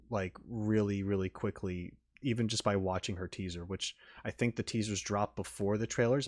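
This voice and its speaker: A man's voice: mid-range voice, no room sound, clean studio sound. A man doing casual, off-the-cuff reaction commentary, rambling and repeating words as he thinks aloud. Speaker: YouTube reaction host.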